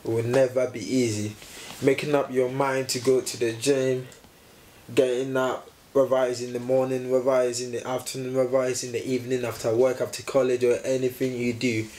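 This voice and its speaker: A man's voice: medium pitch, level tone, fairly quick, a little mellow, a little hiss or hum. A young man on a sofa indoors, co-hosting a casual motivational chat and emphatically repeating his key lines. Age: young adult. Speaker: co-host, fitness vlogger. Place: indoor room, seated on sofa. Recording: roomy echo.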